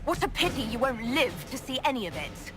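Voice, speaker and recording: An actress playing a confident, direct leader of an inquisition in a fantasy video game, challenging and plain-spoken. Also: female voice, sits high, sing-song, fairly quick, over music.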